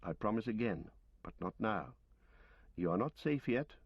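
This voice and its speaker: A man voicing an earnest young male hobbit who coaxes and pleads softly but firmly. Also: lowish voice, lively intonation, moderate pace, breathy edge, dry recording, muffled recording. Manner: soft, pleading, firm.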